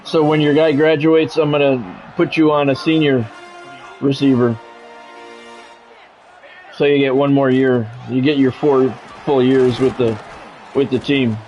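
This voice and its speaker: An American man doing live play-by-play of a video game football match in a measured announcer style, mixed with casual chat to stream viewers. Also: medium pitch, little pitch movement, a little mellow. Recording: dull lo-fi sound with music behind.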